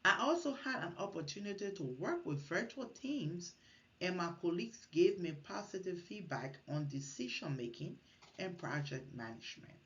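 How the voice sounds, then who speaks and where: A woman's voice: lowish voice, sing-song, normal speed, a bit bright, highs cut off. A woman from Liberia, giving an earnest recorded class presentation, partly read and with small restarts. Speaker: student presenter.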